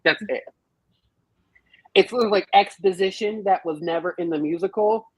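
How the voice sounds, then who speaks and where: A woman's voice: medium pitch, moderate pace, a little mellow, husky, dry recording, poor audio. A woman chatting casually as a podcast panelist about a film, slangy, profane and given to theatrical retellings. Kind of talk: casual animated chat.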